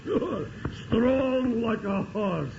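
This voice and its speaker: A man's voice: very high-pitched, animated, extremely slow, gravelly, a little mellow, slight background noise, exaggerated voice. An actor playing a frail, stubborn old immigrant miner father who speaks broken English and coughs with a chill. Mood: worried. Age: older. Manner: broken English, insistent and hospitable. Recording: muffled old radio broadcast.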